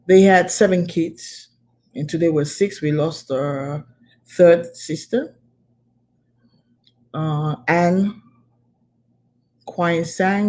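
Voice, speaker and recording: A woman from Cameroon, a guest on a talk show, describes herself. She speaks slowly in a mellow, darker voice. She pauses often with fillers. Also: sits low. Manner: hesitant, with frequent fillers. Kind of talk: interview, personal account.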